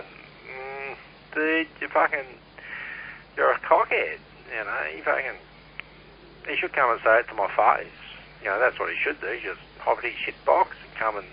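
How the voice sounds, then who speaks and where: An Australian man with a low voice talks over a CB radio link. He rambles bluntly in casual, sweary chat, and the sound is dull and lo-fi with some hiss and noise. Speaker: CB radio operator. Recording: muffled, noisy CB radio transmission.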